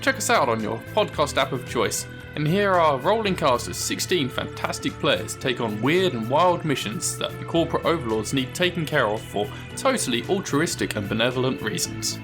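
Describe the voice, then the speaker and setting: A man's voice: mid-range voice, expressive, moderate pace, few pauses. A man reading a scripted promo for a podcast as its announcer. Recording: music bed behind the voice.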